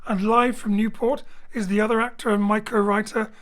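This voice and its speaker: Relaxed, chatty male show host. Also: sits high, brisk. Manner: relaxed, chatty, lightly joking. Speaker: host and director. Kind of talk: friendly show introduction. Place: home studio. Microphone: home studio mic.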